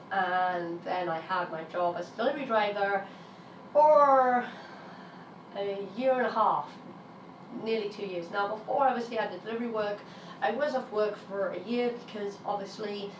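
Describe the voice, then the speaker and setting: A British woman in her thirties, a little raspy from illness, talking frankly and emphatically to her vlog audience. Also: medium pitch, a little hiss or hum. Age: 30s. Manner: frank, emphatic, swears when frustrated. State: raspy while getting over an illness. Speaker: vlogger. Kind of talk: candid personal vlog monologue. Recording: roomy sound from a distance, faint ambience.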